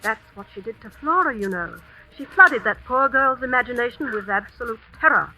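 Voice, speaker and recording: An actress playing a cold, scheming schoolmistress in a vintage radio suspense drama, deliberate and sardonic in manner. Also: female voice, on the high side, lively intonation, bright, forceful tone, exaggerated voice. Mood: bitter, mocking. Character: cold, commanding schoolmistress, accusing and scheming, secretly a murderess. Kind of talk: 1940s radio suspense acting. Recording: noisy old radio drama recording.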